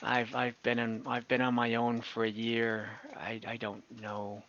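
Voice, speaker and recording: A cynical female ex-bandit played by a man in a tabletop roleplaying game. The voice is forward and bright, halting and world-weary, through dull online-call audio. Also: mid-range voice, completely flat delivery.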